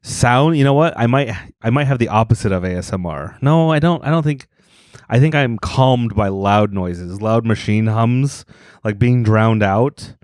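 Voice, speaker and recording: A man hosting a podcast in casual chat, teasing his guest with dry, deadpan jokes. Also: medium pitch, normal speed, no room sound. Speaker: podcast host.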